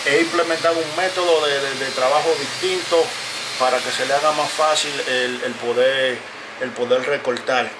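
An earnest man talks casually to his followers from inside his closed barbershop. He repeats phrases and pauses with fillers as he goes. His voice sits at a middle pitch over a steady, clearly heard background noise. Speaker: barber and video creator. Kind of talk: casual talk to camera.

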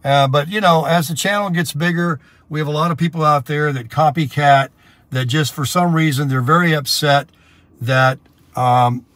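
A man's voice: a little high, moderate pace, a bit bright, dry recording. An American man vlogging casually, explaining things in a rambling, conversational way. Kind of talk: casual chat to camera. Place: in a car and outdoors in a parking lot. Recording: clear car and outdoor background noise.

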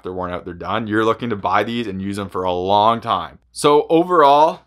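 A man's voice: lowish voice, sharp tone. A man giving a casual product review in a conversational, rambling way. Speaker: hockey gear reviewer.

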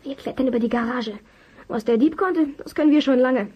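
An actor voicing a keen, inquisitive child detective in a German children's radio play, brisk and full of questions. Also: high-pitched, dull audio, close to an everyday voice. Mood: restless.

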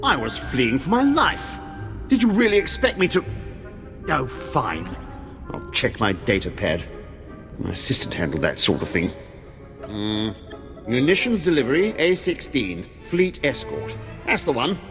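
An actor playing a pompous, cowardly, whining Imperial official in a video game, haughty and fussy. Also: male voice, mid-range voice, very animated, unhurried, long pauses. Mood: indignant, then grudging. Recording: long hall reverb, music behind.

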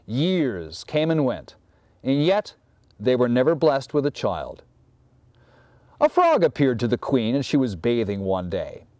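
A man in his fifties reading a fairy tale aloud as a storyteller, with measured, theatrical and deliberate phrasing. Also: medium pitch, wildly swooping pitch, darkish tone, no echo. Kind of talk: dramatic fairy tale narration.

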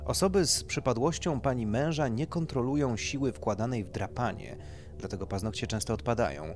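A man's voice: medium pitch, expressive, a little fast, faint music behind, pitched above the performer's own voice, natural voice. A man voicing a polite, tactful male doctor who explains procedures clinically in an audiobook. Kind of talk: audiobook character dialogue.

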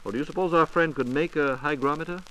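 A man's voice: a little high, quick pace, fairly bright tone. An American man with a polished announcer delivery, hosting a scripted 1940s radio programme. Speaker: radio host and interviewer. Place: radio studio. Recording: noisy vintage 1940s radio transcription disc.

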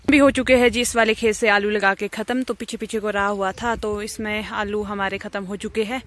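A woman's voice: mid-range voice, level tone, a little fast, fairly bright tone, no room sound. A young woman from Himachal Pradesh chatting to her viewers as she plants potatoes outdoors, filmed on a phone. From Himachal Pradesh, India. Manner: chatty, explains farm work to viewers. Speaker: vlogger. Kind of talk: casual narration to camera. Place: hillside farm field outdoors. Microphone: phone camera, arm's length and set on ground.

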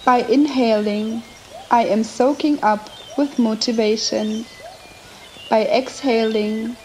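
A woman narrating a scripted guided meditation with affirmations, in measured short phrases separated by long pauses. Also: a little high, a little mellow, a little hiss or hum, rough recording. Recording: nature ambience behind the voice. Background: nature and ambient sounds.

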